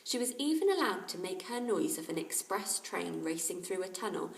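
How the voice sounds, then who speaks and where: A woman's voice: lowish voice, very animated, normal speed, vocal fry. A woman teacher narrating a children's story aloud. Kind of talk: children's audiobook-style narration. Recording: roomy, distant microphone.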